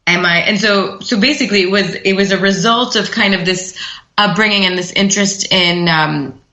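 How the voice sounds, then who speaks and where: A woman's voice: mid-range voice, moderate pace, pushed and bright. A woman being interviewed as a podcast guest, answering in a reflective, meandering way with many restarts. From United States. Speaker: podcast guest, startup founder. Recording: echoey, dull lo-fi sound.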